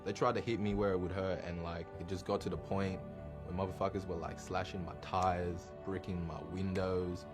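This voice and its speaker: A man's voice: sits low, level tone, moderate pace. A young man, a hip hop artist, talking bluntly and with heavy slang in an interview. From Australia. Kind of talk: candid interview. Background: music playing.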